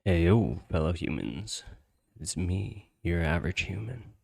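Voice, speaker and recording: Man, low-pitched, creaky fry, casual storyteller, slangy podcast host, loose conversational delivery. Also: lively intonation, unhurried, a little mellow. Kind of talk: casual first-person storytelling.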